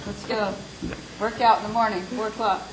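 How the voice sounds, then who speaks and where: A woman's voice: mid-range voice, flat delivery, normal speed, a loud crowd, low-quality recording. A woman on a discussion panel who speaks bluntly and encouragingly, with a plain hands-on manner.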